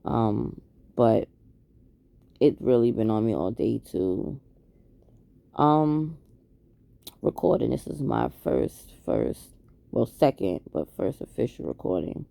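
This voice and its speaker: A woman's voice: rumbling low, big pitch swings, slow, gravelly, long pauses, dark tone, dry recording. A woman around forty, talking to camera in a candid, hesitant, reflective way, with many ums and restarts. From Queens, New York. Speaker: vlogger. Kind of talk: candid late-night confessional talk to camera.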